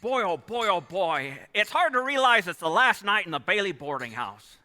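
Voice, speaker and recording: A man's voice: high-pitched, big pitch swings, moderate pace, pushed and bright, full character voice. An actor playing a restless, earnest young man in an old-time radio play, stammering and exclaiming, taped live on stage. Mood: cheerful. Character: restless, earnest young man dreaming of leaving his small town. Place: theatre stage. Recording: live stage taping in echoey hall.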